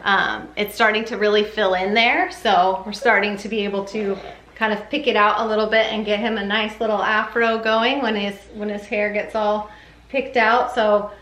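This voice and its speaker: Female parenting vlogger, chatty and informal, bright tone, roomy echoing sound. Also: medium pitch, little pitch movement, moderate pace. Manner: chatty, informal, often says "like". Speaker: parenting vlogger giving a baby update. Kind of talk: casual chat to camera. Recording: echoey room with faint background noise.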